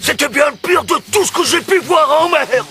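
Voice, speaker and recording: A man voicing an excitable, cowardly pirate sailor in a cartoon, loud and given to exaggeration. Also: very high-pitched, normal speed. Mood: frightened, excited. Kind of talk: animated cartoon dub acting. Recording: cartoon soundtrack with music behind.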